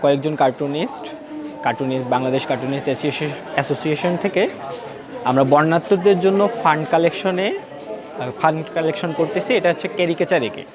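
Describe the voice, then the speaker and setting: A man's voice: on the high side, fast talker. A male cartoonist with a Bangladeshi Bengali accent explaining his work in an interview. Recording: busy indoor public crowd noise.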